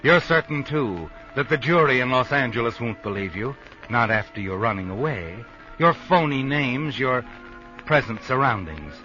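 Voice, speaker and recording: An American actor playing a knowing, taunting narrator in a 1950s suspense radio drama. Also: male voice, medium pitch, animated, moderate pace, sharp tone, faint music behind. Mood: taunting, ominous. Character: knowing, taunting male narrator, an unseen omniscient observer. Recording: muffled 1950s radio recording.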